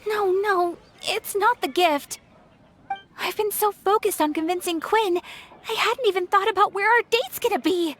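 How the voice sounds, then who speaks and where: A woman voicing an excitable, lovestruck young woman in an anime video game, scheming and dramatic. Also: very high voice, moderate pace. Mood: flustered.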